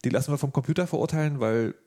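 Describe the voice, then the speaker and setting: A man's voice: mid-range voice, racing, dark tone. A man hosting a podcast in a casual, wry way, asking loose, rambling questions. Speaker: podcast host. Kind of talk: casual conversational interviewing.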